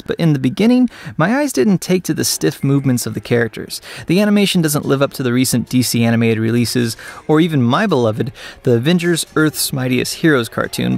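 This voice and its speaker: A man's voice: medium pitch, vocal fry. A man hosting a video review, presenting his take as a reviewer. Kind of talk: entertainment review, part to camera, part scripted narration. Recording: music behind the voice. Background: background music.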